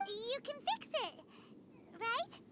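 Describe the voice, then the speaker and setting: A tiny fairy sidekick from a video game, with an extremely high, squeaky childlike voice. The pitch leaps all over the place in a cheeky, exclamatory way. Loud noise sits behind the voice. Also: female voice, very animated, slow, exaggerated voice. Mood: hopeful. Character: tiny, excitable, childlike female fairy companion. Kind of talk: animated video game voice acting.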